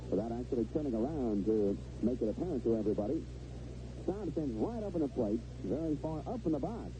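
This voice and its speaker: An American man calling baseball play-by-play on the radio, mixing clipped phrases with conversational asides. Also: mid-range voice. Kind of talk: live radio play-by-play commentary. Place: broadcast position close to the field at a ballpark. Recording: vintage 1970s radio broadcast, muffled and noisy.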